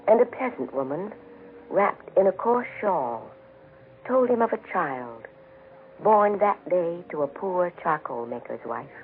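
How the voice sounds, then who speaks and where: Animated high-voiced man, old-time radio storyteller. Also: slight background noise. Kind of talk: 1940s radio scripted narration, solemn storytelling. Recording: tinny 1940s radio broadcast with music behind. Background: music.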